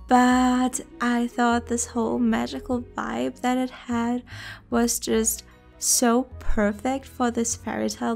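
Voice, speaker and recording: Slow, even-toned woman with creaky voice. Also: fairly high pitch. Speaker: video creator narrating a game build. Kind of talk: casual voice-over commentary.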